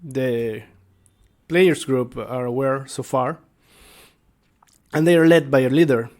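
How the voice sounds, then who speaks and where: A man giving an informal explainer as a game master, speaking haltingly and searching for his words. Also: mid-range voice, darkish tone. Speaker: tabletop game master and video creator. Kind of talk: informal explainer talk to viewers.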